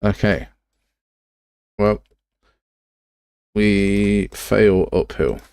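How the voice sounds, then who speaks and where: A man with a very low, creaky voice, recorded close and dry. He talks casually as a livestream host, thinking aloud while he works. His delivery is informal and rambling, with a self-deprecating streak. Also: steady tone, very slow, darkish tone, dry recording. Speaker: game development livestream host.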